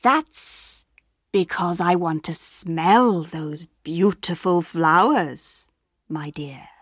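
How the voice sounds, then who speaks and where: A woman voicing a sly, hungry male wolf with a low, hushed, drawn-out delivery. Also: dry recording, studio quality.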